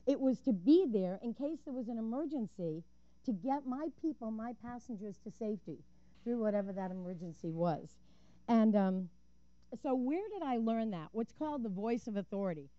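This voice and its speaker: An older woman speaks at a podium microphone in a council chamber, telling her story earnestly and vividly. Her voice is mellow and dry, with slightly dull, lo-fi sound. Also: fairly high pitch, animated, moderate pace, no room sound. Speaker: public commenter at a council meeting. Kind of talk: prepared public comment with personal storytelling.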